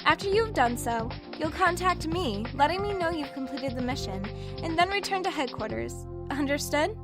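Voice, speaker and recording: A woman's voice: sits high, animated, normal speed, over loud music. A woman playing a composed young female officer briefing trainees in an amateur superhero drama. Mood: composed, instructive. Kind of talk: amateur audio drama acting.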